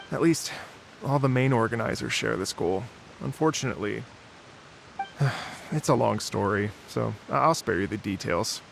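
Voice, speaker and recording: Anime game wandering musician, courteous, wistful, lively intonation, some vocal fry. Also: male voice, mid-range voice, a little hiss or hum. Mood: earnest. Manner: polite, hesitant, earnest. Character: genteel, mild-mannered wandering musician, adult man, wistful storyteller.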